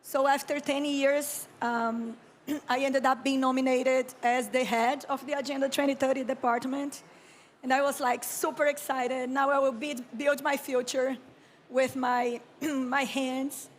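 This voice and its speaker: A Brazilian woman, an architect and urban planner in her thirties, delivers a keynote on a conference stage. Her English carries a Brazilian Portuguese accent and her voice sits high. She speaks in a slow, calm, reflective way and often clears her throat. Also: a little hiss or hum.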